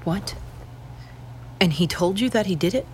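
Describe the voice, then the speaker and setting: A woman's voice: on the low side, animated, unhurried, darkish tone, slight background noise. A woman playing a stern, incredulous questioner in an audio drama. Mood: incredulous, pressing.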